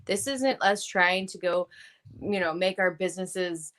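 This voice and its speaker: A woman who is a publisher and coach, speaking as a guest on a casual podcast in an energetic, earnest way and laughing often. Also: fairly low pitch, a little slow. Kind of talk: casual interview chat.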